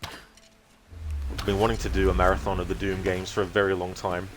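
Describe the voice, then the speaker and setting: A man's voice: low-pitched, flat delivery, moderate pace. A man giving casual gameplay commentary as a live streamer. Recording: loud game noise behind voice.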